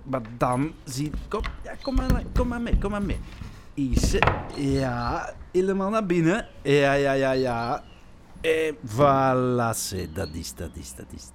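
A man's voice: medium pitch, big pitch swings, takes it slowly, background noise. An actor with a Flemish accent playing a bickering, clumsy policeman besotted with his dog, in a naturalistic comedy radio play. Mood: eager coaxing. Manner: repetitive, muttering, colloquial.

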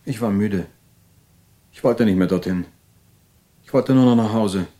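An actor playing an anxious, paranoid male mental patient confessing his story in a halting, brooding way in an audio drama. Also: on the low side, dark tone, close to an everyday voice. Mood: weary.

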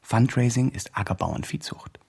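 A young male campaigner in a radio drama. He reports on his project with earnest zeal and self-importance. His voice is a little husky, at an ordinary male pitch. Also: normal speed, a little mellow. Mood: earnest, pleased. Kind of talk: scripted campaign diary narration.

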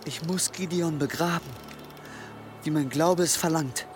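An actor playing an anguished, guilt-ridden monk in a German radio drama, halting and repeating himself. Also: male voice, on the high side, music in the background, natural voice.

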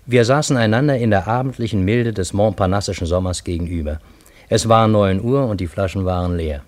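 An actor playing a cultured, courteous bookseller who narrates a German radio play in a measured, literary manner with a husky voice. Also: male voice, on the low side, a little mellow, lightly acted. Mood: reflective narrating. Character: cultured Parisian bookseller, courteous first-person narrator of strange tale.

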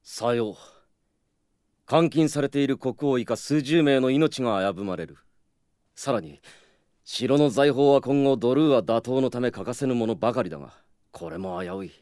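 An actor playing a stern knight commander who speaks formally and with authority in a radio drama. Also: male voice, mid-range voice, expressive, normal speed, darkish tone, smooth, no echo, lightly acted. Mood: commanding. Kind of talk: Japanese radio drama acting. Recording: dry studio with background music.

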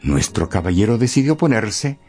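Narrator for radio drama: man, very low creaky voice, animated theatrical storytelling with pointed pauses. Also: soft background music.

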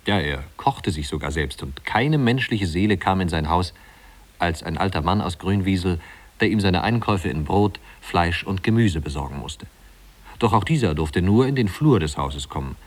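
Low-voiced wry storyteller. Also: male voice, animated, moderate pace. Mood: wry, reminiscing. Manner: leisurely, wry, conversational storytelling. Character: man narrator recalling his small-town youth, wry storyteller. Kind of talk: 1950s German radio drama narration.

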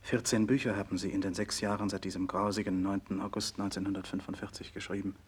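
Husky, deferential young doctor's assistant. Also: male voice, deep voice, flat delivery, fast. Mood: solemn, admiring. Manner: formal, deferential. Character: respectful, anxious male physician, loyal former pupil. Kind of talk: 1960s German radio play acting.

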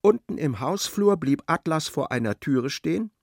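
A man's voice: on the high side, lively intonation, moderate pace, gravelly, a little mellow, dry recording, studio quality. A man narrating a literary radio play in a precise, wry, dramatic storytelling manner.

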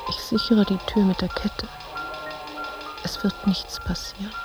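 An actress playing an intense, uneasy woman in a literary radio play, narrating her memories and fears in terse, clipped phrases with a creaky voice. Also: mid-range voice, flat delivery, measured pace, music in the background, close to an everyday voice. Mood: tense, watchful. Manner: terse, clipped phrases, at times near whispering.

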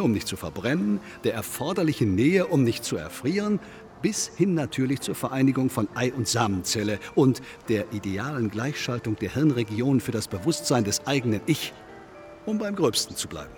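An actor in a radio play playing a self-absorbed congress guest who philosophizes in a pompous, long-winded, declamatory way. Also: male voice, mid-range voice, darkish tone, lightly acted. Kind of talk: German radio play acting.

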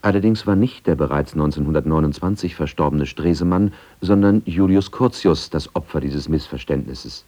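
Deep, dark-voiced male documentary narrator. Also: moderate pace, few pauses. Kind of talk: scripted narration.

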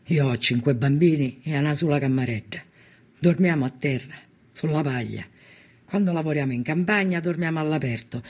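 Poor Sicilian peasant, husky, slightly high male voice, earnest, determined, documentary drama. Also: darkish tone. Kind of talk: acted documentary testimony.

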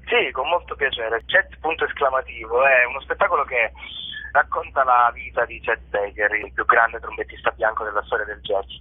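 A man heard over a thin, telephone-like connection, with a slightly husky voice. He is a stage actor in a casual radio interview. He talks with enthusiasm, often stopping and doubling back on words. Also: medium pitch, normal speed. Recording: narrow phone-like line.

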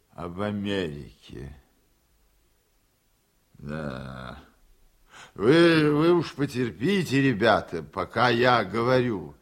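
An actor playing an elderly senator in a radio play, rambling sentimentally and haltingly through a banquet speech, repeating himself like a tipsy orator. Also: male voice, mid-range voice, full character voice. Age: 70s. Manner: halting, repetitive, theatrical oratory. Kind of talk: Soviet radio drama acting, speech-making.

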